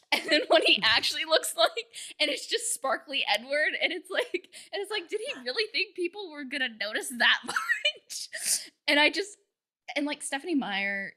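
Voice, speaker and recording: A woman hosting a podcast, chatting casually in an excited, gossipy way. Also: high voice, moderate pace. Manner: excited, gossipy, laughing through her stories. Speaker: podcast host.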